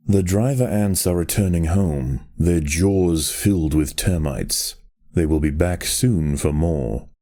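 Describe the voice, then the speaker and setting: A man delivering scripted nature documentary style narration for a video game, in a measured, solemn and dramatic way. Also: deep voice, mellow, dry recording, studio quality.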